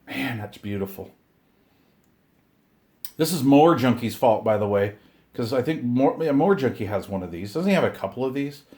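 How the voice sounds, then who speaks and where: A middle-aged or older man chatting casually to viewers while unboxing, excited and rambling, often talking to himself. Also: mid-range voice, normal speed, long pauses. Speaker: hobbyist video host. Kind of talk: casual unboxing chat to camera.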